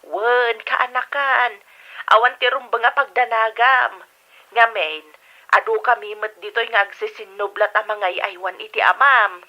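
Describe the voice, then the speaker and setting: Through a dull telephone filter, a woman plays a middle-aged, reassuring aunt in an Ilocano-accented radio drama. Her voice is fairly high and kindly. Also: steady tone, a little slow. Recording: dull band-limited phone effect.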